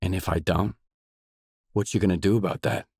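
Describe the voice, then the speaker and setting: Low-voiced, terse wasteland mercenary. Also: male voice, steady tone, normal speed, a little mellow, husky, dry recording, clean studio sound. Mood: firm, defiant. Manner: terse, matter-of-fact. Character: blunt, self-reliant young male mercenary stalker in a wasteland. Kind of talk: video game dialogue lines.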